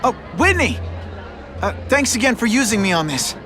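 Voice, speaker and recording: An actor playing a young, wisecracking but earnest superhero in a video game, with a casual, quippy delivery. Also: male voice, very high-pitched, sing-song, normal speed, a crowd in the background. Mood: friendly, casual. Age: young adult. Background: loud music.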